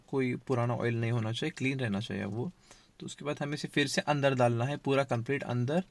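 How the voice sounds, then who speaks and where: A man, talking viewers through car maintenance checks beside his car outdoors, recorded on a handheld phone. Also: mid-range voice. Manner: explanatory, addresses viewers as friends. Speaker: car vlogger. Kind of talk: how-to car maintenance walkthrough.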